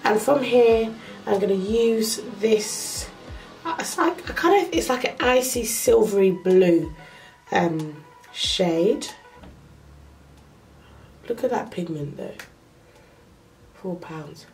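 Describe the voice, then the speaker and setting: Slow-talking young woman, nasal from a cold. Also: medium pitch, expressive, darkish tone, soft background music, low-quality recording. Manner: slow, chatty step-by-step talk with fillers. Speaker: makeup vlogger. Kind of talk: casual makeup tutorial. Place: small bedroom indoors. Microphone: camera close up to the face.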